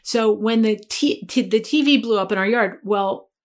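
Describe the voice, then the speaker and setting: A woman in her forties, a guest in a casual chat, telling a childhood story in a lively, chatty way. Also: mid-range voice, moderate pace. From Maryland, USA. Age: 40s.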